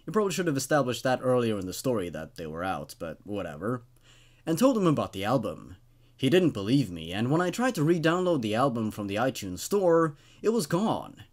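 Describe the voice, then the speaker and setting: A man's voice: medium pitch, big pitch swings, a little fast. A man in his twenties hosting, reading stories aloud and commenting on them in a casual, conversational way. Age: 20s. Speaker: host reading and reviewing horror stories.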